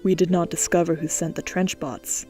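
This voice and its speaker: A woman voicing a stoic male robot detective, speaking in flat, clipped, formal lines. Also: lowish voice, moderate pace, faint music behind, pitched below the performer's own voice, natural voice.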